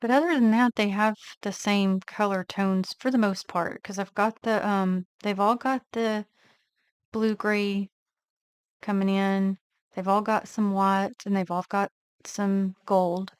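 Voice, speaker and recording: A woman vlogger casually narrating her nail art step by step, thinking aloud in a relaxed, unhurried way. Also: mid-range voice, clean tone, no echo, clean studio sound.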